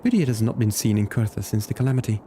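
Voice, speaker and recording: A man's voice: lowish voice, animated, rapid-fire, mellow, a little hiss or hum, close to an everyday voice. A man voicing an earnest young nobleman accused of heresy, speaking formally.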